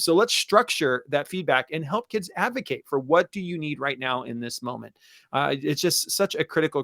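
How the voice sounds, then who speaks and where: A man's voice: mid-range voice, fairly bright tone, dry recording, clean studio sound. A man speaking as an enthusiastic, emphatic co-host in a conversational webinar for educators, repeating key phrases for stress. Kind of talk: conversational webinar talk for teachers.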